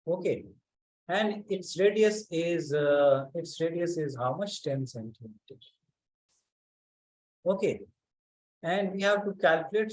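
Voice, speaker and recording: A man teaching a physics class online, speaking haltingly and calling on students by name to check that they follow. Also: on the high side, poor audio.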